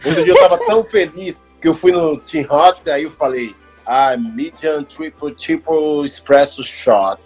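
Animated Brazilian man, husky voice. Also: on the high side, measured pace, hardly any pauses, faint music behind, poor audio. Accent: Brazilian Portuguese. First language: Portuguese. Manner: animated storyteller, laughs often, mimics voices. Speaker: actor working as an extra. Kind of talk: casual chat.

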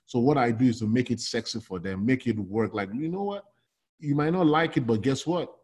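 A middle-aged man from Nigeria, a logistics consultant talking casually in an interview, animated and full of restarts and "you know". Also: mid-range voice, brisk, slightly rough.

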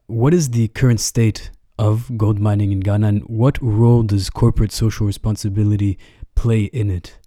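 Podcast host, man, measured and formal, mellow tone in a dry room. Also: lowish voice, steady tone, no echo. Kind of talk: scripted interview questions.

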